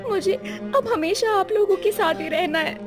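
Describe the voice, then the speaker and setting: A woman acts a young daughter-in-law from a cartoon moral tale, wounded yet dignified. Her voice is extremely high, and she speaks earnestly and with emphasis. Music plays clearly behind her. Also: moderate pace. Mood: tearful, pleading. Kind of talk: animated moral-story dialogue.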